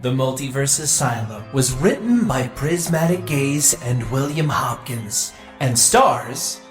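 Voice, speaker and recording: A man reading end credits in a slow, steady manner. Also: mid-range voice, echoey room, soft background music. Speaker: credits announcer.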